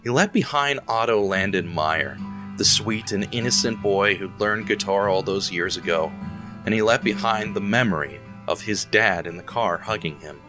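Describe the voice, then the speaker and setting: A man's voice: on the low side, normal speed. A man narrating an audio drama in a tense, building manner. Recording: music underneath.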